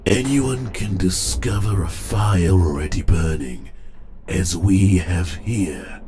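An older man acting a cold, bitter villain in a comic dub, with a deliberate, disdainful delivery. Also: low voice, dark tone. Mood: brooding.

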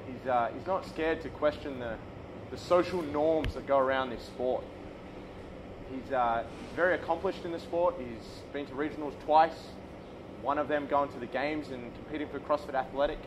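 A young man talks about his travel partner in an easygoing, teasing way, pausing with fillers. His voice is mid-pitched and plain. Loud ambient noise fills the background. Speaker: vlog co-host. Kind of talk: casual interview talk. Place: outdoors before a red roller door. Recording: noisy background.

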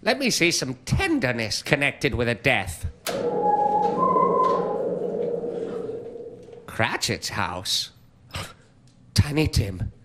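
A man's voice: mid-range voice, wildly swooping pitch, takes it slowly, pushed and bright, a little hiss or hum, exaggerated voice. A man playing a frightened old miser, pleading theatrically with a spirit in stammering, exclamatory speech. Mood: sorrowful, pleading. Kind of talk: dramatic stage-style monologue.

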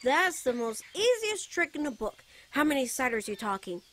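A woman's voice: high voice, big pitch swings, fairly bright tone, slight background noise. A woman voicing a playful, teasing, fondly protective young bard boy in a giggly ASMR character roleplay. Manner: teasing, giggly, drawn-out phrases. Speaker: voice actor.

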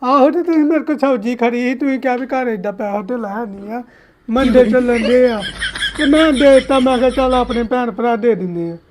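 A man's voice: extremely high pitch, fairly quick, darkish tone. A man with a Punjabi accent making a prank phone call as a vegetable seller, pleading and insistent. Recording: phone call with faint noise.

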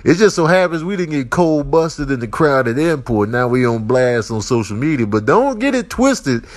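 A man's voice: medium pitch, sing-song, normal speed, close to an everyday voice. A man doing a comic voiceover as a teasing onlooker, exclaiming with mock sympathy.

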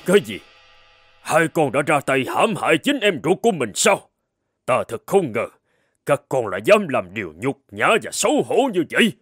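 A man's voice: medium pitch, big pitch swings, normal speed, a bit bright, husky, dry recording. A man voicing an emotional, stern old king and father in a fairy-tale cartoon. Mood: shocked, angry.

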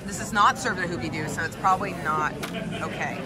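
A woman in her thirties hosting a casual food review vlog, chatty and lively, filmed at arm's length in a busy indoor restaurant. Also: fairly low pitch. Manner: chatty, lively, quick asides and laughs. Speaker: food vlogger host. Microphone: phone or camera at arm's length. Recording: noisy crowded restaurant. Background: crowd chatter.

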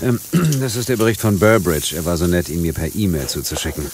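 An actor playing an inquisitive, low-key investigator in a radio play, speaking in a laconic, slightly hesitant, questioning way. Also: male voice, fairly quick, slight background noise. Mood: relaxed. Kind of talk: German audio drama acting.

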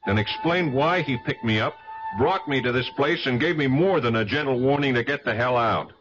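A man acting a suave, wary, quick-witted investigator in a 1970s radio drama. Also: mid-range voice, over music, lightly acted. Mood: tense, alert. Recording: muffled vintage radio recording with hiss.

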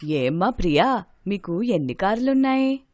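A woman puts on a nosy older mother-in-law for a moral tale. She is greedy and self-justifying, with lively swings in her voice and a dry sound. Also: medium pitch, moderate pace, no room sound, close to an everyday voice. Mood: eager.

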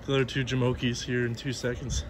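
A man's voice: medium pitch, level tone, fast talker, noisy background. A man vlogging a workout in casual bro slang, swearing and hyping his friends up. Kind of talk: casual gym vlog chat. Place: outdoor yard and makeshift backyard gym. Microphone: handheld camera or phone. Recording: loud music over the voice. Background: loud music.

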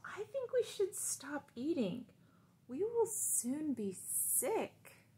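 A woman's voice: sits high, sing-song, takes it slowly, mellow, voice pushed up, exaggerated voice. A woman voicing a fussy, sensible male frog from a children's storybook who earnestly lectures his friend. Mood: worried.

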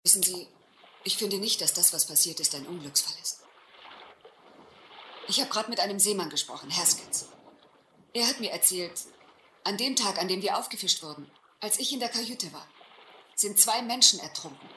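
Principled young lady, bright-voiced. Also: mid-range voice, animated, moderate pace, pauses often. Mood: reproachful. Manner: earnest, reproachful, questioning. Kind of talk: German radio play acting. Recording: roomy, faintly noisy radio play recording.

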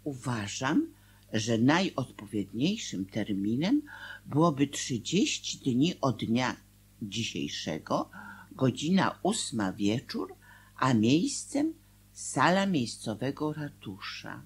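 An actress in a radio drama playing an anxious, pious, loving middle-aged wife with a breathy voice. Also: female voice, rumbling low, slow pace, exaggerated voice. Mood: careful reading. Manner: expressive, agitated. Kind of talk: Polish radio drama acting.

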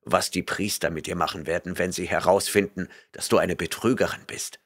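Man doing a gruff barbarian warrior, low growl, quiet, impatient, menacing, audiobook. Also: steady tone, brisk.

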